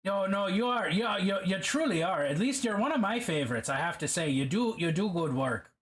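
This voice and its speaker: A man with a high, bouncy voice chats on a live stream while he paints. He reads messages aloud in a loose, joking way. Now and then he drifts playfully into a mock Irish accent. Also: expressive, fairly quick, a bit bright.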